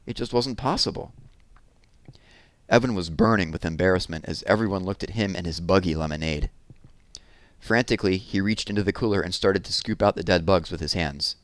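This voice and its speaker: A man narrating a children's chapter book expressively, leaning deliberately on key words for drama. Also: fairly low pitch, no room sound. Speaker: read-aloud narrator.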